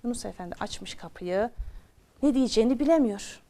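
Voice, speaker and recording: A woman's voice: a little high, expressive, a little fast, dark tone. A woman telling a children's story expressively, repeating phrases for drama and addressing her young listeners directly.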